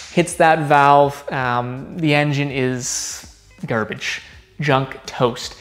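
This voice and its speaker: A young man hosting a car channel, giving an upbeat explainer to camera in a large garage workshop and talking with his hands. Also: fairly high pitch. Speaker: automotive channel host.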